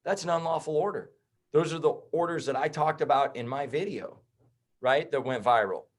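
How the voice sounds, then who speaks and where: A man's voice: a little high, moderate pace. A man in his thirties, a chiropractor guesting on a podcast, talking bluntly and heatedly in casual chat. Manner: blunt, heated, swears and says bro.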